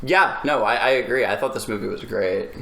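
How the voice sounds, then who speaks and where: A man's voice: on the low side, a little fast, gravelly, pushed and bright. A man talking informally about movies with friends as a podcast panelist. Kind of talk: casual film discussion among friends. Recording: echoey room, faint background noise.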